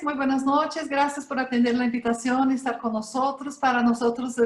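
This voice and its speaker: A woman hosting for a cultural center, giving a polite, formal welcome. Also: a little high. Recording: echoey room.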